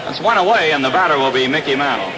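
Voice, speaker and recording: A man's voice: on the high side, animated, fast talker, noisy background. An American man calling baseball play-by-play in the style of a 1960s radio broadcast, recorded outdoors at a ballpark. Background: loud stadium ambience.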